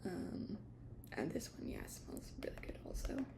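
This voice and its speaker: A woman doing an ASMR shop roleplay as an attendant, whispering gentle explanations in a hesitant, unscripted way. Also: unhurried. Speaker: ASMR creator playing a tea shop attendant.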